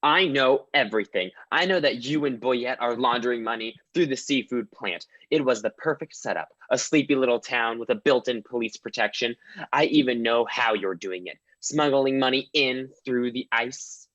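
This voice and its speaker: A man's voice: mid-range voice, normal speed, a bit bright, dry recording, dull audio, close to an everyday voice. A man playing an earnest, dog-loving small-town detective in a comedic radio play. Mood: accusing. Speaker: comedy troupe performer.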